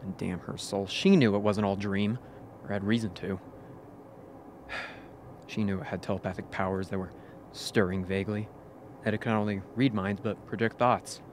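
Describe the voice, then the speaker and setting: Guy doing a bitter expedition crewman, low voice, radio drama with some noise. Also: fairly even intonation, moderate pace, long pauses, lightly acted. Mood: bitter, brooding. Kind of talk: amateur radio play acting.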